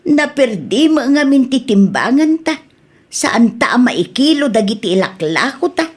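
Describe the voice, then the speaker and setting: A woman's voice: on the high side, very animated, unhurried, husky, exaggerated voice. A woman with a Filipino Ilocano accent playing a poor older wife who sells scrap, in a radio comedy-drama. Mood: exasperated. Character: older poor wife selling scrap, complaining to her husband.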